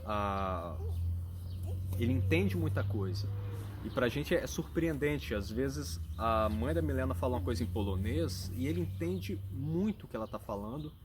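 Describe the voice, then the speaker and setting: A man's voice: mid-range voice, lively intonation, normal speed. Earnest Brazilian father, noisy recording. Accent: Brazilian Portuguese. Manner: thoughtful, earnest, searching for words. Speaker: father and vlogger. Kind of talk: casual explanatory talk.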